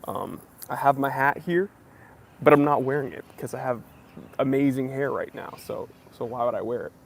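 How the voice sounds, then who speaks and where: A man's voice: mid-range voice, normal speed, creaky, slight background noise. A young American man hosting a casual live Q&A stream, greeting viewers by name and joking around, a little wheezy from a recent cough. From United States. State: slightly wheezy, recovering from a cough. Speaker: livestream host. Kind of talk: casual live Q&A chat, reading comments.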